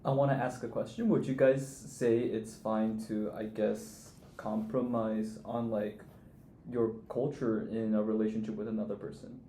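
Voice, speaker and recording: A man's voice: lowish voice, flat delivery, moderate pace, dark tone. A young man on a student podcast who asks a hesitant, careful question. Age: young adult. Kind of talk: casual discussion. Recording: echoey room, faint noise.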